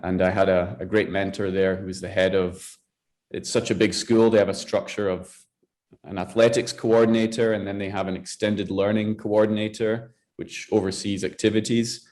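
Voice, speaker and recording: Scottish man, low flat voice. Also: normal speed. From Scotland. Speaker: guest, athletics director. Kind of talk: podcast interview.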